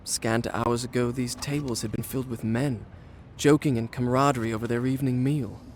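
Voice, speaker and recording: A man's voice: mid-range voice, steady tone, fairly quick, a little hiss or hum, natural voice. An actor playing a proud, sardonic young Persian prince, telling his adventure in eloquent, formal, dramatic game dialogue. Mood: wistful. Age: young adult. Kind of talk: dramatic video game voice acting and storytelling. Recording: music behind.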